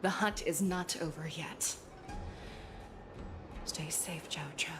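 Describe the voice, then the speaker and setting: An actress playing a stern, resolute female general in a video game, commanding, solemn and measured. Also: low-pitched, steady tone, music in the background. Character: stern, resolute female warrior general, cool-headed hunter. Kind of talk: dramatic video game voice acting.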